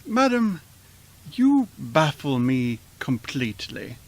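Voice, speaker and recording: A man's voice: medium pitch, sing-song, takes it slowly, a little mellow, heavily acted. A man playing a drowsy, exasperated older husband with dry wit, in an old-time radio style. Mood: weary exasperation. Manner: drowsy, dry, long-suffering. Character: sleepy, exasperated older husband, dryly witty. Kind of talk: old-time radio comedy-drama acting.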